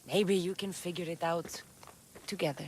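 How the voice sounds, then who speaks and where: A woman's voice: lowish voice, fairly even intonation, moderate pace, a little mellow, breathy edge, big-room sound. An actress playing a tough but caring female mercenary of ancient Greece in a video game cutscene. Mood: gentle, consoling.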